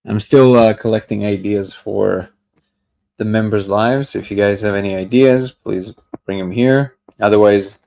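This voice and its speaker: A man's voice: on the low side, steady tone, unhurried, gravelly, a little mellow. A man hosting a daily livestream, chatting casually and greeting viewers by name. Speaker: livestream host. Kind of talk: casual live chat reading comments.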